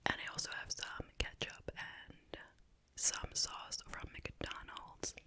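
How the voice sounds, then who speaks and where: A woman hosting ASMR, chatting to viewers in a soft, unhurried, conversational whisper. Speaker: ASMR YouTuber, host. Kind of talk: whispered ASMR mukbang chit chat.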